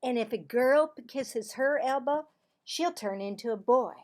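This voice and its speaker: A woman voicing a bossy, scornful schoolgirl in a storybook, with loud, emphatic, taunting delivery. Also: sits high, unhurried, voice pushed up. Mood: insistent.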